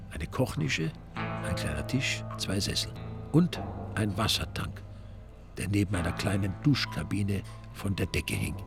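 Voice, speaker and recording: A man's voice: on the low side, creaky. A man narrating a crime radio play in a dry, ironic manner.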